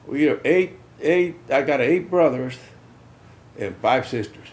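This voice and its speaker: An older man from South Texas recalling his youth in a halting way, often repeating words. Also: mid-range voice, expressive, darkish tone. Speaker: interviewee, veteran. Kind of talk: casual recollection.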